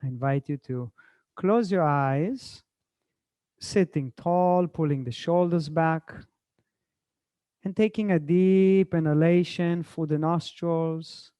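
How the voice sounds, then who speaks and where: A man from Israel speaks as a spiritual teacher and meditation guide. His voice is relaxed and somewhat high for a man, and he takes his time with very slow, courteous phrasing. The sound is dry. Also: clear, resonant tone, no echo.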